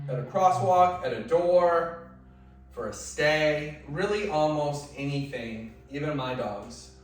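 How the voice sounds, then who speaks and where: A man working as a dog trainer, explaining techniques in a conversational, instructive way while he trains a dog at home. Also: fairly high pitch. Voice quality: loud voice. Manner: instructive, conversational, drops to soft commands to the dog. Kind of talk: hands-on training demonstration. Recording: very echoey room, mic at a distance.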